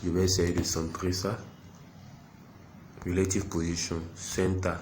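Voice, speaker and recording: A man with a stuffy head cold giving an unhurried, self-deprecating walkthrough of an app, narrating each click. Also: sits low, flat delivery, a little mellow, a little hiss or hum. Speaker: software tutorial presenter. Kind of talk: screen-recorded app tutorial.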